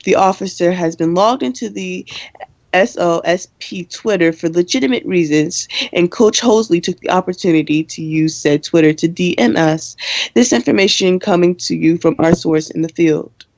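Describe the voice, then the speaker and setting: A woman playing a brisk, deadpan student co-hosting a campus radio show in a radio play, formal on announcements and wryly exasperated in her scolding. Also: fairly low pitch, no echo, muffled recording, natural voice. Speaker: radio co-host character. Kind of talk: radio play in campus news broadcast style.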